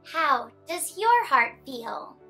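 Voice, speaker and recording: A young woman reads aloud for children as a story time host. Her voice sits high and bright, and she takes her time with each phrase. The delivery is animated and expressive, suited to very young listeners. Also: very slow, faint music behind. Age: young adult. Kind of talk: picture book read-aloud for children.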